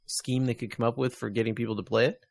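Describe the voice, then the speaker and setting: Wry male podcast host, medium pitch. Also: fairly even intonation, fast, gravelly, darkish tone, no room sound, studio quality. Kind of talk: casual gaming podcast chat.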